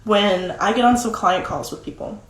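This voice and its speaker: A young woman with a deeper voice, chatting casually on a livestream as a content coach, with lots of "like" fillers. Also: moderate pace. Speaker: social media content coach. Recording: roomy echo.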